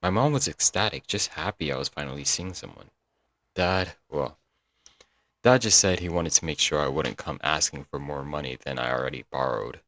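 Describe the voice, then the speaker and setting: A man's voice: extremely low pitch, normal speed, a bit bright, no echo. A man playing a doting, apologetic young yandere kidnapper in a comedic roleplay audio drama, coaxing and rambling intimately to the listener. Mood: rambling, defensive. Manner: coaxing, rambling, pet names, nervous chuckles.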